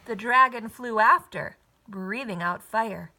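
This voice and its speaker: A woman narrating a children's story expressively, with a deliberate, rhythmic rhyming delivery. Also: on the high side, pushed and bright, low-quality recording. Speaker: storybook reader.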